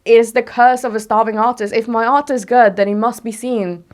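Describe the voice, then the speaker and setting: A woman's voice: a little high, fairly even intonation, a little fast, darkish tone. A young woman from Mexico, whose first language is Spanish, speaking as a podcast guest in a direct, emphatic way and asking herself rhetorical questions. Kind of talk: casual interview talk.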